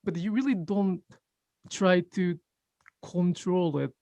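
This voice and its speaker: A man with a Japanese accent, a native Japanese speaker, talking about stitching in a casual group conversation, pausing to find words and often laughing. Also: high voice, darkish tone, dry recording. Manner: thoughtful, pauses to search for English words, laughs often. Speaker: sashiko teacher.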